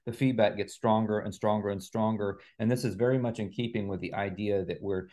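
Middle aged guy on an interview, kinda low voice, explains stuff earnestly. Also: steady tone, brisk, warm tone. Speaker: guest, author and healing practitioner. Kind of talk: conversational interview answers.

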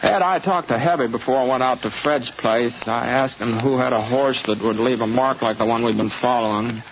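An actor playing a laconic, steady Old West drifter in a 1950s radio western, with a folksy, understated American delivery. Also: male voice, medium pitch, fairly quick, close to an everyday voice. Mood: probing, careful. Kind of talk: 1950s radio western acting and first-person narration. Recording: noisy, muffled 1950s radio recording.

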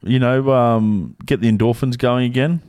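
A man's voice: medium pitch, moderate pace, a little mellow, smooth, dry recording, studio quality. A young Australian man co-hosting a podcast, chatting in crude, joking banter and laughing often. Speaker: podcast co-host. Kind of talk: casual banter.

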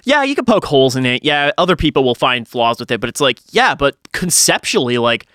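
Animated, bright-voiced man chatting casually. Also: medium pitch, a little fast, clear, resonant tone, dry recording, studio quality. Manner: animated, joking, swears casually. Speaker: podcast co-host. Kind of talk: casual chat.

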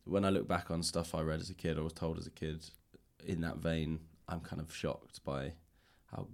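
Male podcast interviewer, deep voice, casual and tentative, questions that wander as he thinks. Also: little pitch movement, normal speed, vocal fry. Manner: tentative, rambling phrasing with hedges. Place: indoors, upper floor of a public library.

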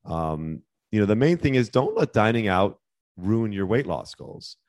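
A man with a deep, low voice talks through fitness tips in a loose, rambling podcast style, full of ums and restarts. He sounds a little worn, as if he is losing his voice. The recording is close and dry, with no room sound. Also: unhurried, no echo. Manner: relaxed, rambling with fillers and restarts. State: losing his voice, clearing his throat. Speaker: podcast host and fitness coach. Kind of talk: casual solo podcast advice.

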